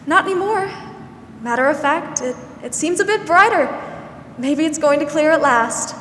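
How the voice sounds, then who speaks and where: A woman's voice: high voice, animated, moderate pace, fairly bright tone. A woman acting a kindly, patient older country spinster in a 1940s-style radio drama. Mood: chatty. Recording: roomy stage hall, faint noise.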